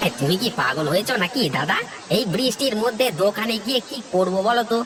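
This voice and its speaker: A man voicing a chatty, idle village friend in an animated fairy tale, folksy and exclamatory. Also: high voice, quick pace, noisy background.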